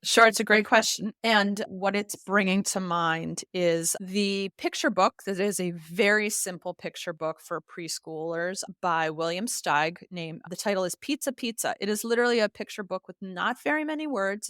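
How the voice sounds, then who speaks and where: A woman with a fairly low voice speaks as an education expert being interviewed. She explains in an articulate, teacherly way and leans on key words. The recording is dry, with very little room sound. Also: moderate pace, a bit bright, no echo. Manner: articulate, emphatic, teacherly, uses examples and lists. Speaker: expert guest, literacy educator and author.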